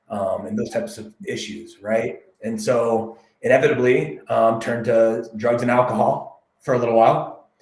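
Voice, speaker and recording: A man from the United States, a realtor guest on a podcast, telling his story in a candid, reflective, measured way. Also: medium pitch, a little mellow, echoey room, poor audio. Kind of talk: podcast interview.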